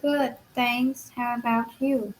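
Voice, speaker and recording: A woman's voice: a little high, fairly even intonation, takes it slowly, poor audio. A woman learning English, hesitant and brief, repeating phrases after her tutor. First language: Vietnamese. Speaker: English student.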